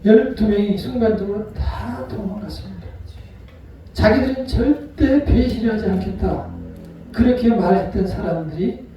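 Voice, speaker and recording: A male pastor preaching a sermon, retelling a story with acted-out voices and sharp swings between loud and soft. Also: sits high, normal speed, highs cut off, soft background music. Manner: storytelling, acts out quoted voices. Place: church sanctuary. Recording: echoey hall from far off.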